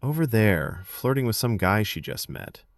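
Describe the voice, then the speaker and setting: A man voicing a warm, protective young male drama student in casual, low-key audiobook dialogue. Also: big pitch swings, normal speed, no echo, studio quality. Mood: subdued.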